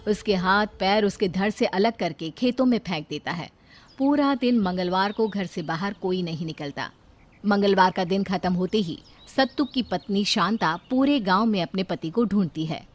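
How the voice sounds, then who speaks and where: A woman's voice: medium pitch, moderate pace. A woman giving a scripted narration of a story.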